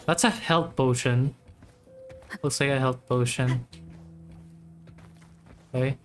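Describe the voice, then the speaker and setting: A game streamer with a mid-range voice, talking very slowly in short casual remarks. The commentary is informal and musing, with faint music behind it. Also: mid-range voice, steady tone.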